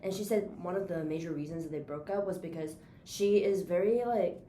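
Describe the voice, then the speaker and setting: A woman's voice: on the low side, brisk, mellow. A young woman on a student podcast, casually telling a story about friends, with fillers. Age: young adult. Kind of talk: casual discussion. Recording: echoey room, faint noise.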